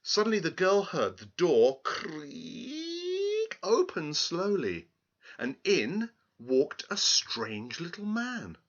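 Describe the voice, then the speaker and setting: A man telling a fairy tale aloud in a theatrical, deliberate, expressive way. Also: on the high side, dull audio. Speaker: storyteller.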